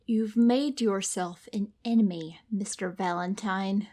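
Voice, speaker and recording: Woman playing a slow, haughty femme fatale. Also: medium pitch, warm tone, natural voice. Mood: icy, threatening. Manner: measured, condescending, formal. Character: cold, haughty, calculating rich femme fatale. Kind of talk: noir audio drama dialogue.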